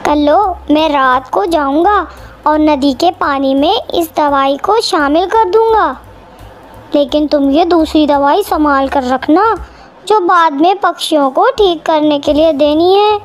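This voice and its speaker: A woman's voice: high voice, a little slow, faint music behind. A woman voicing a lazy, pampered husband crow, whiny and defensive, in a children's cartoon. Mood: scheming. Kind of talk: Hindi children's cartoon acting.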